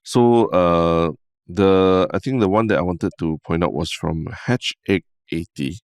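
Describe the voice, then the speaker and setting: A young man with a Singaporean accent co-hosting a casual podcast, relaxed and tagging his sentences with "lah" and "right?". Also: low-pitched, measured pace, smooth. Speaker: podcast co-host.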